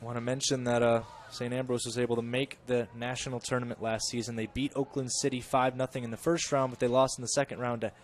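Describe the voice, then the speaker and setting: A man giving chatty live play-by-play of a college soccer match, correcting himself and rising in pitch and volume when the play gets dangerous. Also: flat delivery, fast, few pauses. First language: English. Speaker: play-by-play commentator.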